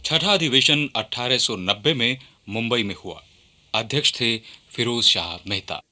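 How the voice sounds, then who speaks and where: A man's voice: mid-range voice, unhurried, pushed and bright. A man delivering scripted radio documentary narration in a formal, declamatory manner.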